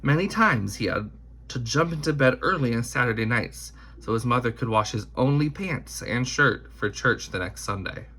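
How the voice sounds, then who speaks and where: A man reading a children's picture book aloud in deliberate, emphatic phrasing. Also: medium pitch, animated, pushed and bright, slightly rough. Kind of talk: scripted storybook read-aloud.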